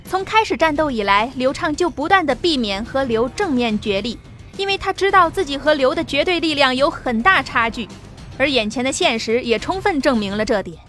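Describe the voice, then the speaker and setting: Female audiobook narrator, high voice, steady vivid delivery, faint music under it. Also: fairly quick. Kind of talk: scripted novel narration.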